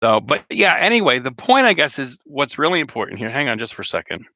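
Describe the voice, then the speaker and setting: Chatty man, bright, slightly hoarse. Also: mid-range voice, big pitch swings, fast, dry recording. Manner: rambling, chatty, many fillers and restarts. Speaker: RV vlogger hosting a live chat. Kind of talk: casual live-stream chat.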